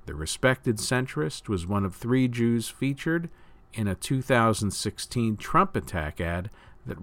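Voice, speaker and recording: A man with a fairly low voice reads prepared news copy. His delivery is measured and deliberate, like an announcer. The sound is very dry, free of room reflections. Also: a bit bright, no echo. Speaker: news reader and host. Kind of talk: scripted news reading.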